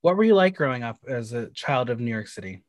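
A man's voice: mid-range voice, lively intonation, fast talker. A man hosting an interview show, asking questions in a casual, friendly chat. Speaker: podcast host.

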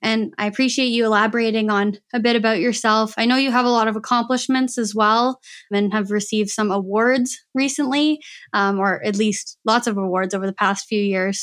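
A woman hosting a podcast interview, reading a scripted introduction and then chatting upbeat with her guest. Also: mid-range voice. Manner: upbeat, warm thanking, reads a content warning. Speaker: podcast host.

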